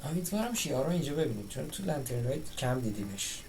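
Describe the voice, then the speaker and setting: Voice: medium pitch, big pitch swings, rapid-fire, darkish tone, slight background noise. A game streamer giving casual, conversational commentary on the play. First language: Persian.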